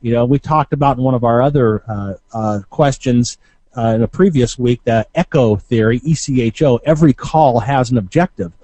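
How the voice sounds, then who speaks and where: A man with a soft-edged, relaxed tone and a middle-range pitch coaches in an informal, conversational way. He talks haltingly, hesitating and rewording as he goes. The sound is close and dry, with very little room to it. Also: no echo. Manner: halting, conversational, with frequent hesitations and self-corrections. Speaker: marketing coach and Q&A host. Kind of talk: informal instructional talk.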